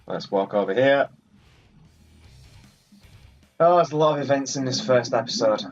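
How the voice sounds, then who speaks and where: A man's voice: mid-range voice, expressive, fast. A man giving casual, rambling let's play commentary on a video game. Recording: muffled sound, game music behind. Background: video game music.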